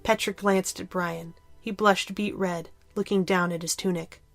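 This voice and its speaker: A woman's voice: lowish voice, steady tone, measured pace, creaky, no room sound, faint music behind. A woman reading scripted story narration as the narrator of an audio drama.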